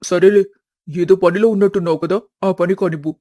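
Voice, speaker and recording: A devoted young friend from a family story, played by a man. He is calm and supportive, with a mellow, high, very even delivery. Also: fairly quick, dry recording, close to an everyday voice. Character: kind, devoted young male friend, quietly lovelorn.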